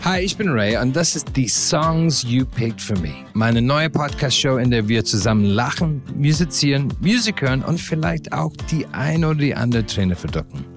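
A man's voice: mid-range voice, expressive, normal speed, few pauses, faint music behind. A man hosting a podcast, chatty and mixing English phrases into German he learned later in life. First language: not German. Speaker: podcast host. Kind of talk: lively podcast chat and scripted intro.

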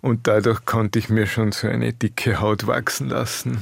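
A man's voice: on the low side, level tone, measured pace, gravelly, dry recording. A middle-aged Austrian man from the Alps, an author being interviewed, talking in a dry, laconic way and chuckling often. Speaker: author guest. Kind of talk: relaxed interview talk.

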